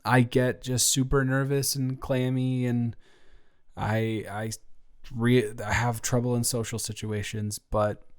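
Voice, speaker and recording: A young man playing a shy, nervous, sweetly awkward college student in an intimate audio roleplay, speaking in a halting, stammering, rambling way. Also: mid-range voice, flat delivery, clean tone. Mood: vulnerable, tender. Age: young adult. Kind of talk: intimate one-sided audio roleplay acting.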